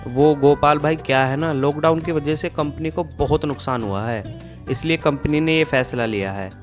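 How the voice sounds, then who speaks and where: A man's voice: fairly high pitch, moderate pace, natural voice. A man playing a friendly, matter-of-fact male milk supplier in a Hindi cartoon story. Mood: explaining. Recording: music behind the voice.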